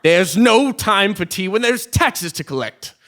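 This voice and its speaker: A man acts out an imperious, whimsical king for a comic audio play on a theatre stage. The voice sits high and swings widely, theatrical and pompous, always needling. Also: lively intonation, a little slow, fairly bright tone, heavily acted. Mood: imperious, goading. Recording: live theatre stage recording.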